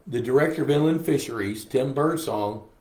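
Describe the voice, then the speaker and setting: An older man, a little hoarse, chatting casually with livestream viewers in a folksy way. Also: mid-range voice, moderate pace, a little mellow. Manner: chatty, folksy, reads viewer comments aloud. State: hoarse from a lingering cough. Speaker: host. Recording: roomy echo on a live stream.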